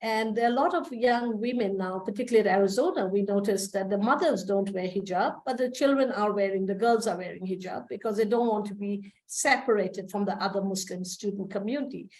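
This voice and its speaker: A middle-aged woman from India, a professor, speaking at a panel in a measured, thoughtful way with frequent fillers. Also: mid-range voice, darkish tone, rough recording. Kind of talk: panel talk.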